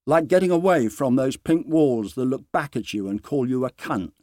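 A man voicing a quietly menacing male bully in a storybook reading, speaking precisely and deliberately. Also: mid-range voice, lively intonation, no echo, studio quality, close to an everyday voice. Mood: coldly intimate.

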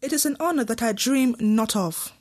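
A woman's voice: a little high, normal speed, no room sound, lightly acted. A woman playing a dutiful, demure thirteen-year-old girl in a student Shakespeare audio play.

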